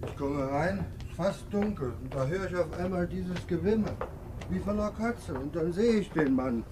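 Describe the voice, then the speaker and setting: A man's voice: high-pitched, expressive, moderate pace. An actor playing a deferential sailor who explains himself at rambling length to his captain in a 1950s radio drama. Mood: nervous, deferential. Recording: old radio recording, faint noise, ship ambience.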